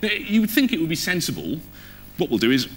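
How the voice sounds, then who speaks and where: A man's voice: a little high, huge pitch swings, fast, slight background noise. A man giving a technical conference talk on stage in an informal, conversational way, often putting questions to the audience. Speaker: conference speaker. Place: on stage in a theatre or auditorium.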